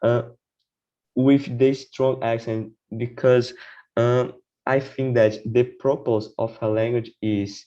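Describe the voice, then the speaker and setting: A man speaks, talking slowly and deliberately in a relaxed podcast chat. He stumbles and restarts often and tags his points with "right?". The audio sounds dull and lo-fi. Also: medium pitch. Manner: halting, many restarts, repeats "right?". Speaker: podcast guest. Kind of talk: casual conversation.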